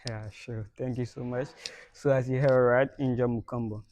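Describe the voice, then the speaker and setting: A man's voice: mid-range voice, steady tone, moderate pace, darkish tone, dry recording. A young man hosting a podcast interview in a casual way. He laughs often and repeats words when he starts a sentence. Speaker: podcast host.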